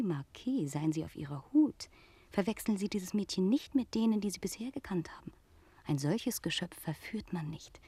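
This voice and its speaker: A woman's voice: medium pitch, mellow, husky, dry recording. An actress in a German radio play voicing a scheming, worldly noblewoman with a theatrical, insinuating manner. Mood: arch warning. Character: scheming aristocratic French lady, cool, worldly, feigning friendship. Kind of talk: German radio drama acting.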